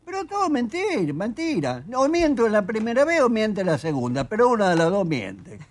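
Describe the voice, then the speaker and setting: A man's voice: high voice, wildly swooping pitch, normal speed. An older man with an Argentine accent, an author being interviewed in a studio, talking emphatically and with indignation. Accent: Argentine Spanish. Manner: emphatic, indignant, reads quotes aloud. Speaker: author guest.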